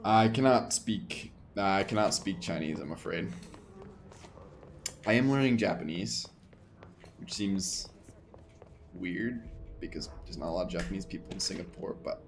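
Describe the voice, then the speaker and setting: A man with a lowish voice talks through a first playthrough of a game, thinking aloud and half-muttering menu text. Faint ambient noise sits under his desk-mic sound. His delivery is casual, rambling and chatty. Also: a little slow, long pauses. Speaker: gaming streamer. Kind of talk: casual let's play commentary.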